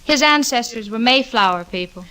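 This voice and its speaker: An actress in a 1940s radio sitcom playing a level-headed young secretary with a dry, sardonic, wisecracking delivery. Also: female voice, a little high, expressive, normal speed, bright, forceful tone. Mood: exasperated, wry. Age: young adult. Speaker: narrator and co-lead. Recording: noisy 1940s radio recording with clicks.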